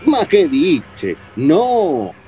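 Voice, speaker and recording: Un actor de radionovela que interpreta a un inmigrante italiano cariñoso y tranquilizador, con acento italiano y palabras en italiano mezcladas. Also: male voice, fairly high pitch, huge pitch swings, drawn-out words, dark tone, soft background music, heavily acted. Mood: reassuring. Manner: mixes Italian words into Spanish. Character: doting Italian immigrant man, reassuring lover. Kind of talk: radio soap opera acting.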